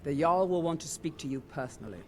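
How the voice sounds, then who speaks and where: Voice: medium pitch, lively intonation, quick pace, soft background music, lightly acted. An actor playing a stern, suspicious bodyguard to a lord in a fantasy video game, curt and formal.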